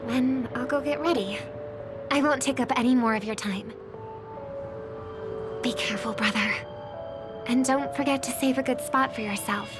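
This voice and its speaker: An actress playing a gentle young singer and devoted younger sister in a game cutscene, speaking in a soft, hushed way. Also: female voice, high voice, close to an everyday voice. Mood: gentle concern. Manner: soft-spoken, slow and hushed. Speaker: game character. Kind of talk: voiced game cutscene dialogue. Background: game music.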